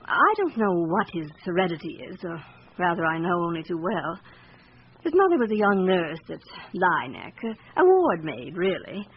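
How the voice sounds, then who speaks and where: Radio play role: proud, guarded society wife living in fear; formal delivery with wide swings of pitch, on a muffled, hissy recording. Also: female voice, big pitch swings, normal speed. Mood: earnest, confiding. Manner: formal, evasive, dramatically earnest. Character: anxious upper-class wife, proud and guarded, fearing her husband. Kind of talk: radio drama acting. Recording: dull radio recording with background hiss.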